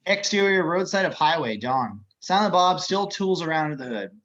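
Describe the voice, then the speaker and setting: A man's voice: on the high side, animated, moderate pace, a bit bright, dull audio. A man reading out the action descriptions of a screenplay as scripted narration.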